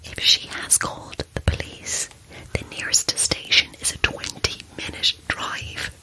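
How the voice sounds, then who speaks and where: A woman with an Irish accent playing a scared, stubborn night-shift radio worker in a horror audio drama, breathy, often hushed and urgent. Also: a little slow, whispered, poor audio. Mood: hushed, desperate. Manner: urgent, insistent, nervy.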